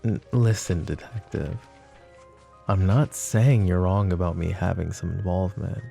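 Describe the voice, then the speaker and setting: A man voicing a smug, flirtatious mafia boss who teases and taunts the woman questioning him, in soft-spoken roleplay ASMR. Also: low voice, a little slow, vocal fry, soft background music, exaggerated voice. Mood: smug teasing. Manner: teasing, taunting, calls her pet names.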